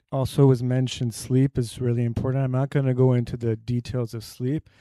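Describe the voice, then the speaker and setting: A man at a presenter's mic gives a health talk to a live audience and quizzes the room with questions. His voice is mellow and warm, and the sound is dry and close with little room echo. He speaks at an average pitch and explains through simple analogies.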